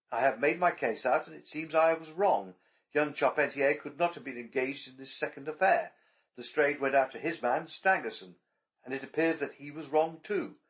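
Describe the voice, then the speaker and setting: A man's voice: fairly high pitch, voice pushed up, natural voice. A man voicing an impatient, blustering police detective in a dramatic audiobook, pressing his points insistently. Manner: insistent, challenging. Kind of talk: dramatic audiobook character reading.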